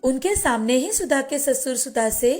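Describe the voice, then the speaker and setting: Female narrator for moral bedtime stories: high voice, scripted delivery, soft music bed. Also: lively intonation, normal speed. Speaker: storyteller.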